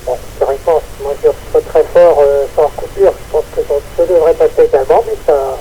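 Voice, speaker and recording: A man's voice: fairly high pitch, steady tone, fairly quick, dark tone. A man chatting casually over an amateur radio transmission, hesitant and repeating words. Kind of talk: casual ham radio chat. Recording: muffled, slightly noisy radio transmission.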